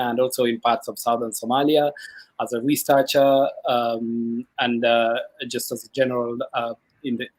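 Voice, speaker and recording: Flat-voiced man, hesitant. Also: medium pitch, rough recording. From Kenya. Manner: hesitant, with many "uh" and "um" pauses. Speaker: graduate student and former journalist, panelist. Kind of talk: panel discussion remarks.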